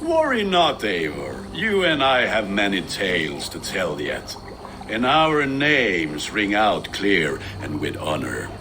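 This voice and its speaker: An actor playing a hearty, boisterous, loyal Viking comrade in a video game. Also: male voice, lowish voice, big pitch swings, slow pace, noisy background, full character voice. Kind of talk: video game cutscene acting.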